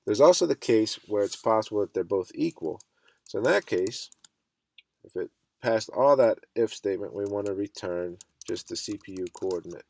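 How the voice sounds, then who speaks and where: A man with a stuffy cold walks through a programming lesson in a relaxed, thinking-aloud way. His voice sits low with some gravel in it. The sound is close and dry, with keyboard clicks now and then. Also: moderate pace, dry recording. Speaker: coding instructor. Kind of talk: screen-recorded coding tutorial.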